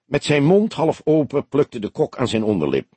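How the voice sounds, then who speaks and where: A male audiobook narrator with a husky voice reads detective fiction. His delivery is scripted storytelling with lively intonation, in a dry, close recording. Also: mid-range voice, moderate pace, dry recording.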